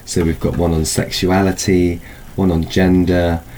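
A man's voice: deep voice, flat delivery, measured pace, darkish tone, slight background noise, poor audio. A man speaking as a festival organiser in a conversational interview, explaining a programme in searching phrases with repeated words.

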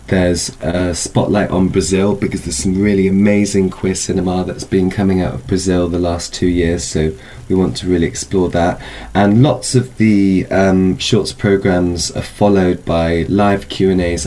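A man's voice: low voice, little pitch movement, normal speed, dark tone, a little hiss or hum. A man speaking as a festival organiser in a conversational interview, explaining a programme in searching phrases with repeated words.

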